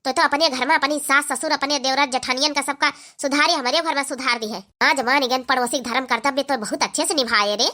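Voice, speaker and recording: A woman's voice: high voice, fairly quick, hardly any pauses, bright, forceful tone. A woman voicing a spiteful, complaining village in-law with an Awadhi accent in a comedy cartoon, talking snappishly. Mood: sarcastic.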